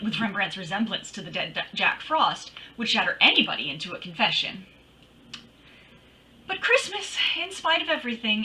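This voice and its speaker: A woman's voice: mid-range voice, bright, forceful tone, far from the mic, low-quality recording. An actress playing a wry, sassy female private detective, telling her case with dramatic flair in old-time radio style. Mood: playful.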